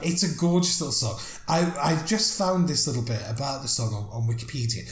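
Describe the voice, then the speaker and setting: A man co-hosting a relaxed podcast conversation. His pitch rises and falls a lot, and he laughs readily between facts. His voice carries a roomy echo. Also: a little fast. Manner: chatty, laughs often, stumbles over foreign names. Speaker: podcast co-host. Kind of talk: casual chat.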